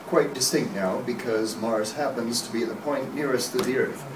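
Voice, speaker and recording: A man's voice: mid-range voice, normal speed, lightly acted. Man playing a skeptical professor, distant and echoey. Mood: measured, dismissive. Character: measured, skeptical male astronomy professor being interviewed. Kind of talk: 1930s radio play read from script. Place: hotel meeting room at a convention panel. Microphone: camera across the room. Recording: echoey noisy room, distant camera mic.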